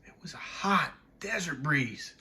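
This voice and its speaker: Man performing as a wistful narrator, dramatic monologue, slightly high male voice, reflective, measured phrasing, haunted by memory. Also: expressive, sharp tone, exaggerated voice. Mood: wistful, hushed. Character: wistful man haunted by a childhood night of love.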